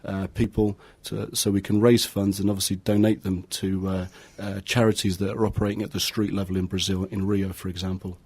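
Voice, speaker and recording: A man with a low, level voice that barely rises or falls, speaking as a guest on a local radio show. He runs on smoothly but scatters his talk with fillers as he pitches his football project. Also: little pitch movement, fairly quick, few pauses, a little mellow. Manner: fluent, full of fillers like "uh" and "you know". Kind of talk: radio interview.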